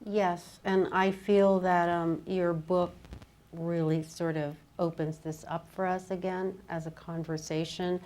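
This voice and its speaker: A woman with a low voice leads a literary conversation as a fellow writer. She muses aloud in a halting, informal way, often breaking off for small asides. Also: level tone, darkish tone.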